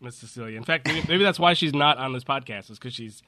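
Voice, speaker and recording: A man's voice: medium pitch, quick pace, pushed and bright. A man hosting a podcast in casual, joking chat, congested from a cold. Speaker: podcast host.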